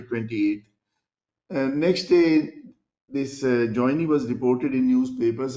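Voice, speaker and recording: A man's voice: mid-range voice, mellow. A man with an Indian English accent, a panelist answering formally and courteously, hesitating with many fillers. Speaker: panelist, former commission chairperson. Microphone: video call. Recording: echoey, dull video call audio.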